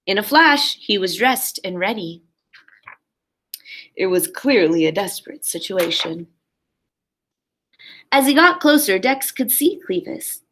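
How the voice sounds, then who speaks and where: A woman's voice: medium pitch, fairly bright tone. A woman primary school teacher reading a story aloud expressively and talking simply and encouragingly to young children.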